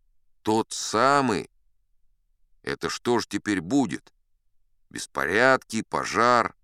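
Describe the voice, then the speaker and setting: A man's voice: deep voice, a bit bright, clear, resonant tone, no room sound, clean studio sound. A man voicing a huge, pompous and flustered male governor in an audiobook, with a bossy, officious manner. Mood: panicked. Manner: bureaucratic, officious. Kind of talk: audiobook character acting.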